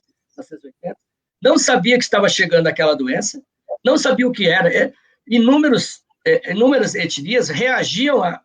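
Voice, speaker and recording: A man's voice: sits high, fairly bright tone, husky. A man with a Brazilian Portuguese accent, speaking earnestly and explaining his views in a reflective interview. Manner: earnest, explanatory, often seeking agreement with short tags. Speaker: interview guest, journalist and author.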